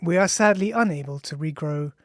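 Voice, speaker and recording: An author of unclear gender reads their own scientific audiobook in a measured way, speaking punctuation aloud. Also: medium pitch. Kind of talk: audiobook reading of a scientific text. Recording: music behind the voice. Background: ambient music with bell-like tones.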